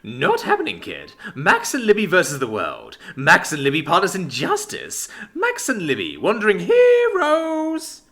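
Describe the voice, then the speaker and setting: A man voicing Max, a brash, impulsive young man with an axe who talks loud and eager. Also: mid-range voice, huge pitch swings, fairly quick. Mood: gleeful. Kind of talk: audiobook character voice.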